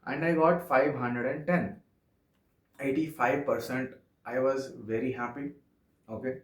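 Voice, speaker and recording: Young Telugu-accented man, sincere and halting, mid-pitch, roomy echo. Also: steady tone. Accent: Telugu-accented English. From Andhra Pradesh, India. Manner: earnest, halting, frequent "okay" fillers. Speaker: vlogger. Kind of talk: personal vlog monologue. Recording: echoey room.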